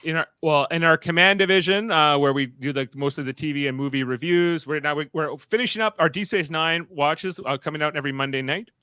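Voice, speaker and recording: A man's voice: a little high, bright, forceful tone, clear, resonant tone, dry recording, clean studio sound. A man hosting a podcast in casual unscripted chat, rambling and often interrupting himself. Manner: halting, rambling, self-interrupting. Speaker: podcast host.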